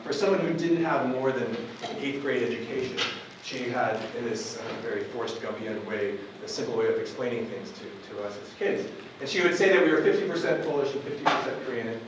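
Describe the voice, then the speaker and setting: A man in his forties from Buffalo, New York, giving a relaxed public lecture as a professor, with frequent ums and restarts. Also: mid-range voice. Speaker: university professor. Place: campus lecture hall. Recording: echoey hall from far off with noise.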